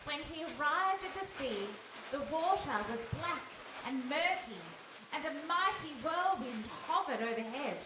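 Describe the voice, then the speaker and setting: A woman's voice: sits high, lively intonation, measured pace. A woman narrating a scripted story for a live radio play. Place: hall, live stage performance. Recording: distant mic, echoey hall, faint noise.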